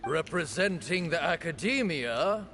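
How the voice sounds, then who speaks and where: An actor playing a solemn master of ceremonies who presides over a ritual duel in a video game, formal and measured. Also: male voice, a little high, animated, fairly bright tone, faint music behind.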